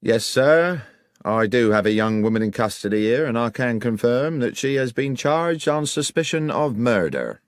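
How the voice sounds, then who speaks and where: A man plays a pompous village sergeant, his voice pitched up and puffed with self-importance. Condescending and lively, close and dry. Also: moderate pace, no echo, close to an everyday voice. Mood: smug.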